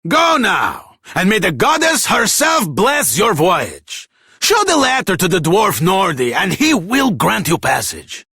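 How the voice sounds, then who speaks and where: A man's voice: high voice, huge pitch swings, measured pace, vocal fry, pushed and bright, breathy edge, dry recording, clean studio sound, heavily acted. An actor playing a grand, theatrical and generous king in a fantasy video game, with a pompous, florid manner. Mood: stern. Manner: pompous, florid, dramatic delivery. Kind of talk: scripted fantasy game voice acting.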